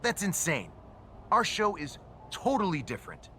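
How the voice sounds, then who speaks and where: A man's voice: high voice, huge pitch swings, normal speed, pushed and bright, slight background noise, full character voice. A man playing a slick, self-assured showbiz promoter in a video game, talking emphatically and persuasively. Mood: dismissive, selling. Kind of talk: scripted video game cutscene acting.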